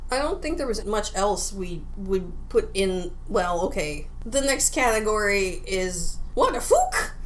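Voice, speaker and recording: A woman's voice: mid-range voice, big pitch swings, unhurried, slight background noise, poor audio. A woman co-hosting a podcast, talking casually as she reviews a game. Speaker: podcast co-host.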